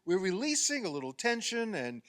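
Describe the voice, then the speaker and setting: Man playing insistent, needling husband in his fifties for a radio play; high, animated voice, dry close sound. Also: fairly quick, no echo. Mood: insistent, bickering. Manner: excitable, insistent, argumentative. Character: eager, needling fifty-year-old husband playing basketball.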